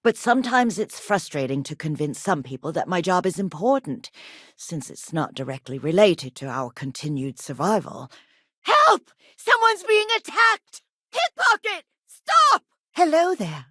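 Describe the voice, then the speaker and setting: Expressive, earnest woman historian, low-voiced. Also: a little slow, dry recording, studio quality, exaggerated voice. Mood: alarmed, shouting, then friendly, eager. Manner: articulate, earnest, polite. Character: earnest, gracious woman historian and teacher on a colony ship. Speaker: video game character voice actor. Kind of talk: scripted game character dialogue.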